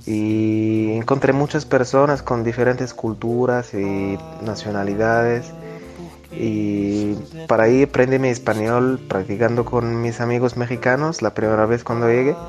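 A man, speaking in careful, slightly halting phrasing. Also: mid-range voice, steady tone, music in the background. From Morocco. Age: 20s.

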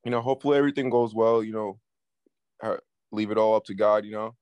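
A man from Peru who grew up partly in New York, giving casual interview answers as a music artist, haltingly and with repeated words. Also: on the low side, steady tone, clean tone, no echo, muffled recording. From Peru, moved to the US at six, based in New York City. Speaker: guest, up-and-coming Latin music artist.

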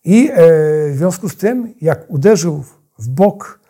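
A man tells history in a narrative, explanatory way. His voice is husky and soft-edged, set a little higher than most men's, and he repeats phrases for emphasis. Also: sing-song, a little slow. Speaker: historian guest.

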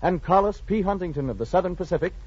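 A man's voice: sits high, lively intonation, fast, a little mellow, husky. A man reading scripted narration in a formal, dramatic 1940s radio style. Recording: muffled 1940s radio recording.

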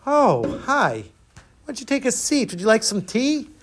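A storyteller acts out a wry Zen master from a folk tale, mild and unbothered. He teases without hurry, with a lively, moving pitch. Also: slight background noise. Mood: placid. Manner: mild, mocking, unhurried. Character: calm, teasing old male Zen master, unhurried and wry.

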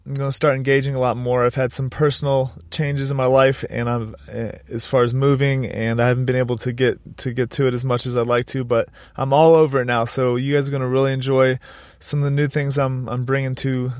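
A man hosting a podcast, upbeat and energetic, with a stuffy nasal voice from a sinus infection. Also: mid-range voice, fairly quick, no room sound, studio quality. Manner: upbeat, energetic, frequent restarts. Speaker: podcast host. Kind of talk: podcast intro and interview. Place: Colorado.

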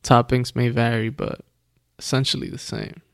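A man's voice: medium pitch, steady tone, normal speed, creaky, dry recording, clean studio sound. A man chatting casually as a podcast co-host, laid-back and slangy, laughing often. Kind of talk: casual chat. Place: Los Angeles.

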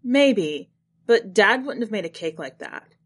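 A woman's voice: mid-range voice, animated, a little slow. A woman voicing a guarded, skeptical grown man in a story reading, in a dry, matter-of-fact manner. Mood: dry, contradicting. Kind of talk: acted dialogue in a short story reading.

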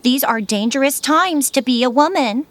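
A woman's voice: high voice, expressive, normal speed. A woman playing a self-righteous, nagging Puritan wife with an affected, fussy manner in a comic audio drama. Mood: falsely sweet. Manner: affected, falsely modest, fussy. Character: prim, self-righteous, nagging Puritan goodwife and social climber. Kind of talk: audio theater comedy acting.

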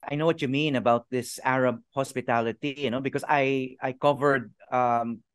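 A middle-aged man interviewing a guest, halting and restarting his phrases and mixing languages as he talks. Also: medium pitch, fairly even intonation, smooth, dry recording. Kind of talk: casual conversational interview.

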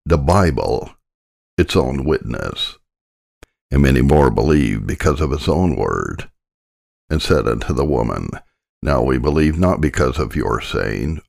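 A man with an extremely low, creaky voice narrates formal religious prose. His delivery is measured and solemn, as in an audiobook of old devotional writing. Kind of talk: reading aloud a formal religious essay.

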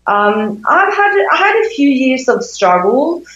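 A woman's voice: high-pitched, very animated, unhurried, fairly bright tone. A woman in her fifties, originally from Sweden, speaks as an interview guest. She talks thoughtfully, searching for words with frequent fillers. The recording is roomy and somewhat muffled. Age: 50s. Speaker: intimacy coach, guest. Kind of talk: interview conversation. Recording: echoey, dull lo-fi sound.

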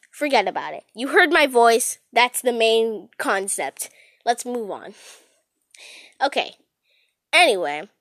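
A woman's voice: mid-range voice, fairly bright tone, no echo, clean studio sound. A young woman chatting casually and a little giggly as a first-time podcast host, self-deprecating and given to dramatic emphasis. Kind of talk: casual rambling solo chat.

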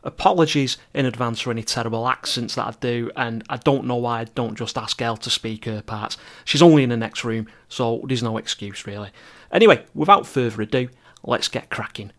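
A middle-aged man narrates a travel podcast at a medium pitch. He reads descriptive scripted prose and drops in chatty asides. His manner is wry and self-deprecating. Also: fairly quick, a bit bright. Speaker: podcast host and travel writer.

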